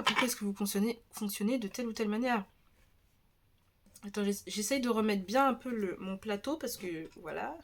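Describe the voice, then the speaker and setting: A woman with a French accent giving a relaxed tarot reading, talking in a chatty, hesitant way with many restarts and a slightly stuffy nose. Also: mid-range voice, slight background noise. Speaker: tarot reader. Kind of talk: casual tarot reading video.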